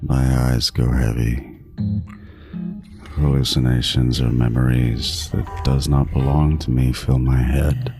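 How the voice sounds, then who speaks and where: A man's voice: a bass voice, level tone, slow, exaggerated voice. A man playing a crude, sardonic serial killer who narrates his own hunt in a horror audio drama, with intense, profane, wisecracking delivery. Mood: dazed, awed. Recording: music behind the voice. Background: music.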